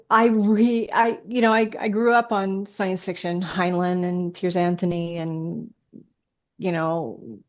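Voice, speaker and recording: A woman's voice: fairly low pitch, dull audio. A woman speaking as a guest author in a casual interview, hesitant and often restarting her sentences.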